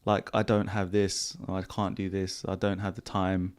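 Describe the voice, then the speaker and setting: A man hosting a podcast in a casual, relaxed way, with a croaky voice and slangy, repetitive phrasing. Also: low-pitched, moderate pace. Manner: relaxed, repeats himself, slangy. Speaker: podcast host. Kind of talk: casual podcast chat answering viewer questions. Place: home studio.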